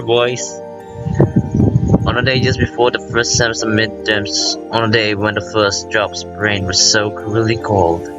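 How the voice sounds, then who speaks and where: A man giving casual, rambling let's play commentary, excitable and informal, breaking off his own sentences and reading visual novel text aloud. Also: lowish voice, dull audio. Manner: informal, self-interrupting, excitable. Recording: music playing behind the voice. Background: visual novel game music.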